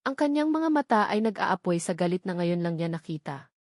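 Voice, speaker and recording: A woman's voice: fairly low pitch, very animated, brisk, a little mellow, no echo. Woman narrating a story, dry close sound. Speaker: audiobook narrator. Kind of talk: scripted dramatic story narration.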